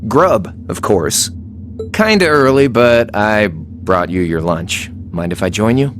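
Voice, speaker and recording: A man's voice: on the low side, lively intonation, slow, faint music behind. An actor playing a cocky, laid-back young male rebel in a video game, teasing and flippant in a casual way. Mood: easygoing. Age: young adult.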